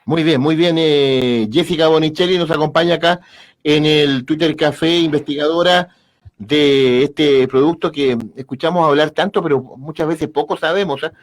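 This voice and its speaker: A man's voice: on the high side, normal speed. A man hosting an interview, courteous, often repeating words and stammering as he asks questions. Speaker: radio show host.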